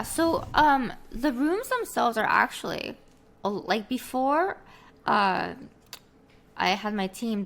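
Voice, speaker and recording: Soft-spoken young woman. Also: medium pitch, gravelly. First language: Korean. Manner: soft-spoken, halting, many fillers, laughs often. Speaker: interview guest, streamer and engineer. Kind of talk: casual video call interview. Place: small home streaming room. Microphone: headset with desk mic on video call.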